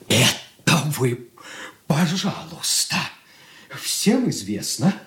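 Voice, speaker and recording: An actor playing a petty, self-important son-in-law in a radio drama, fussy, pompous and querulous. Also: male voice, sits high. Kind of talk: Soviet radio drama stage acting. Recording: echoey studio, distant from mic.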